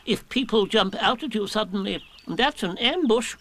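A man's voice: high voice, expressive, moderate pace, fairly bright tone, pitched above the performer's own voice. A man voicing a pompous, superior old male owl who lectures the others. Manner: lecturing, self-important.

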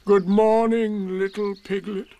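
A man voicing a gloomy, resigned donkey from a children's storybook, with a ponderous, doleful, deliberate delivery. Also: high-pitched, little pitch movement, warm tone, voice pushed up.

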